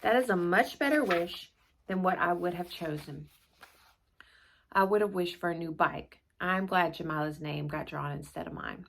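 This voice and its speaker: A woman voicing an earnest, wide-eyed young schoolboy from a children's storybook in an expressive way. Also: fairly low pitch, moderate pace, creaky. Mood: wistful. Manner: expressive, dramatic storybook reading.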